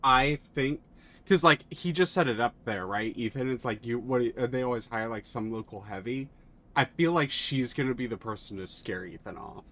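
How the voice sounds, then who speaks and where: A man playing a video game on stream, thinking his moves through aloud and swearing when excited. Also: mid-range voice, normal speed, a little hiss or hum.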